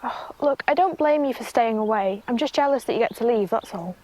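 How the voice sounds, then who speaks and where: A woman's voice: fairly high pitch, fairly quick, darkish tone, slight background noise, lightly acted. A young woman playing a blunt, sarcastic teenage younger sister in naturalistic film dialogue, with music behind her. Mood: resentful.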